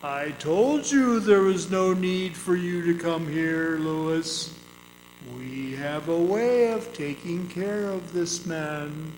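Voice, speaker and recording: A man playing the solemn, forbidding ghost of a murdered man in a radio drama, speaking deliberately. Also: fairly high pitch, darkish tone. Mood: ominous. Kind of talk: old-time radio drama acting on stage. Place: performing arts center hall. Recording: echoey hall, faint noise.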